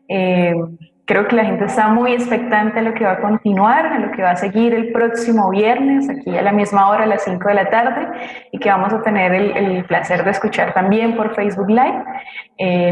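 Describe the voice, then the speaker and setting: A woman's voice: mid-range voice, darkish tone. A Colombian woman co-hosting a live programme, presenting and reading out audience messages. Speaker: co-host reading audience comments. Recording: very echoey room, faint noise.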